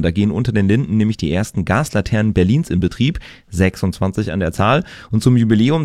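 A man's voice: fairly low pitch, a little mellow, no echo, studio quality. A man hosting a radio show, chatting casually as he announces local events and the next song. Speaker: radio host. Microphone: studio microphone.

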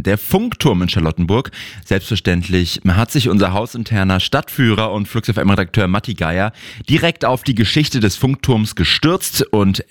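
A man's voice: lowish voice, no room sound, clean studio sound. A male radio host moderating live, chatty and upbeat with some filler words.

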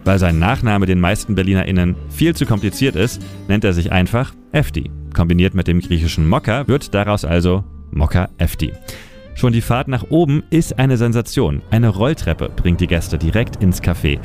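A man with a fairly low voice narrates a scripted history piece. His delivery is measured and storytelling. Clear music plays beneath him. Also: a little mellow. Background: music bed.

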